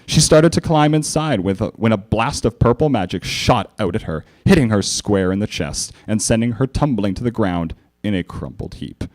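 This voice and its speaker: A man giving a theatrical, animated live reading of fan fiction as narrator and presenter. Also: on the low side, normal speed, few pauses.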